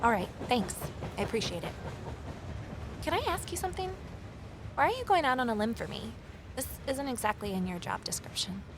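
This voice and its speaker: A woman's voice: a little high, very animated, brisk, pauses often, background noise. A woman playing a curious, determined investigator who narrates an audio drama in a reflective, conversational way, with ambience behind her. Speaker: narrator and lead character. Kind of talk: first-person audio drama narration and dialogue.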